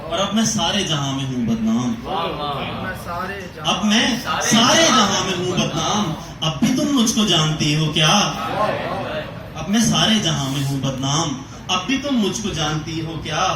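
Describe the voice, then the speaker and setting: Slow, high-pitched man reciting in echoing hall. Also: sing-song, crowd noise. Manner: theatrical, deliberate, with pauses and repeated lines. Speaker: poetry reciter. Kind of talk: dramatic Urdu poetry recitation. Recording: echoing hall, distant mic, audience noise.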